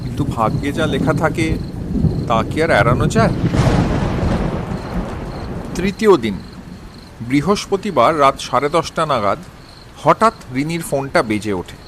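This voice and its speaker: A man's voice: a little high, big pitch swings, a little slow, pauses often, very noisy. A man narrating a scripted suspense story in a dramatic storytelling manner.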